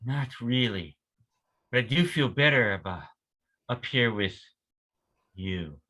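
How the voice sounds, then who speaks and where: A man's voice: medium pitch, lively intonation, slow pace, sharp tone, exaggerated voice. A man playing a tender, teasing young man in love in a table read of a stage play. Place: remote video call.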